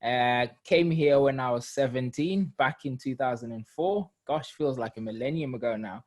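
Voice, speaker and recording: A man in his thirties from Zimbabwe talks through his story as an interview guest. He speaks in a mid-range voice, reflective and unhurried, with plenty of fillers. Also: medium pitch, a little mellow. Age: 30s. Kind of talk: casual interview.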